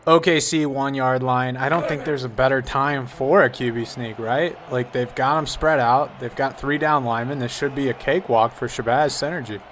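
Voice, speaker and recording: A man's voice: mid-range voice, fairly even intonation, normal speed, hardly any pauses, fairly bright tone, a little hiss or hum. A man giving casual color commentary on a football broadcast, talking in a chatty, rambling way with frequent restarts.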